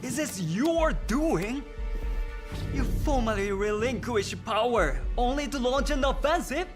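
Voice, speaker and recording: A man's voice: very high voice, animated, measured pace, over music. A man playing a formal, agitated retainer in a samurai video game. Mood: accusing. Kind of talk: video game cutscene acting.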